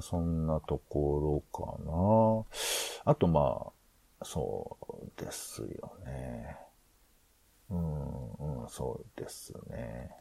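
Man thinking aloud, pitch swinging widely. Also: vocal fry, mellow, slightly rough, no echo. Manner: hesitant, rambling, thinks aloud. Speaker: podcast host. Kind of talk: casual planning discussion.

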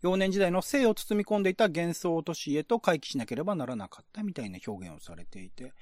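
A man's voice: fairly high pitch, sing-song, quick pace, darkish tone, dry recording, clean studio sound. A man hosting a podcast, explaining art and literature in an enthusiastic, informal, conversational way. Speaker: podcast host. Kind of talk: casual discussion of literature and art.

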